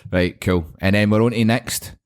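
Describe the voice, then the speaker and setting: A man's voice: fairly low pitch, fairly even intonation, moderate pace, clean tone. A young Scottish man co-hosting a podcast in a casual, sweary chat, often laughing and acting out scenes. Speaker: podcast co-host.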